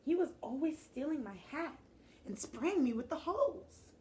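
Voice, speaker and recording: An earnest young storybook boy, read by a woman. The voice lifts higher as he pleads his case, with faint noise behind it. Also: lively intonation, unhurried, darkish tone. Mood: defensive.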